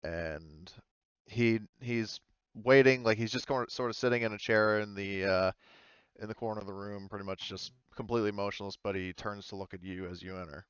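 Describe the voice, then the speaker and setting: A man running a tabletop game as game master, narrating in a casual, halting, informal way. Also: on the low side, fairly even intonation, clean tone, no room sound, muffled recording.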